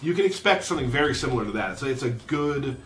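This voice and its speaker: A man hosting a casual live question-and-answer chat in an easygoing, joking, deadpan way. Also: medium pitch, fast talker, recorded from a distance. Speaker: livestream host from a game studio. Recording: faint background noise.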